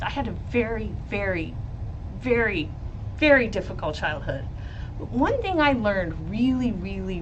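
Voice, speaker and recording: A woman lawyer with a loud voice, hosting a live stream about a trial and reading chat questions. She talks bluntly, swears freely and laughs often. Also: medium pitch, muffled recording, background noise. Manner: blunt and profane, with frequent laughs. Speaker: lawyer and legal commentator.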